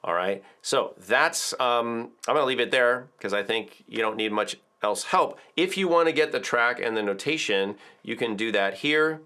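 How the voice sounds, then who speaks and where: A middle-aged man giving a relaxed ukulele lesson to camera in an indoor studio, chatty and full of filler words. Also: mid-range voice, normal speed, hardly any pauses. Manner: chatty, explains with filler words and counts aloud. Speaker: ukulele teacher. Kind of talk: relaxed video tutorial.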